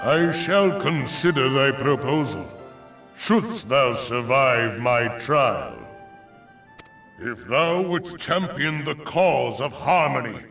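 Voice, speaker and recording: A slow, echoing ancient thunder god. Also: male voice, fairly high pitch, vocal fry, heavily acted. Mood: considering, grave, then commanding, ominous. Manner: grave, pronouncing, judgmental, deliberate. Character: ancient male thunder god, stern guardian of a forest. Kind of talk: solemn archaic theatrical declamation. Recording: roomy, distant echoing voice under loud music.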